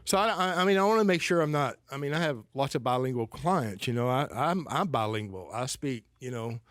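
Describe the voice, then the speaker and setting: A man's voice: mid-range voice, lively intonation, a little fast, a bit bright, dry recording. Rambling Southern man, dry sound. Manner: rambling, restarts sentences, asks "right?" often. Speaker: financial advisor, guest. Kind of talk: casual conversation.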